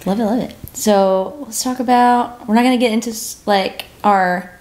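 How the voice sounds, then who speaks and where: A woman of thirty co-hosts a casual podcast in a slightly high voice. She is bubbly and exclamatory, sprinkling her chat with "like". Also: steady tone, moderate pace, darkish tone. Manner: bubbly, exclamatory, peppers talk with "like". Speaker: podcast co-host. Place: living room sofa at home.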